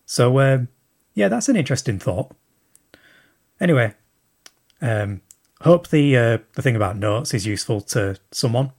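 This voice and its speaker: A man's voice: mid-range voice, lively intonation, normal speed, pauses often, darkish tone. A man sending a recorded question to a show, thinking aloud and rambling with plenty of fillers. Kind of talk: recorded listener message.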